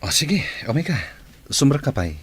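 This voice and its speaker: A man's voice: mid-range voice, very animated, moderate pace, noisy background, close to an everyday voice. An actor playing a caring male friend in a radio drama, earnest and reassuring. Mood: concerned. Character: steady, caring male friend consoling and questioning.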